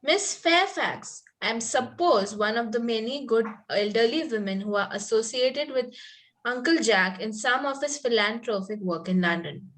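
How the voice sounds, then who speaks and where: A woman's voice: fairly high pitch, lively intonation, normal speed, fairly bright tone, rough recording. A woman reading the part of a naive, romantic young Victorian girl in an amateur play reading, earnest and politely formal. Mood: prim. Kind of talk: amateur play table read.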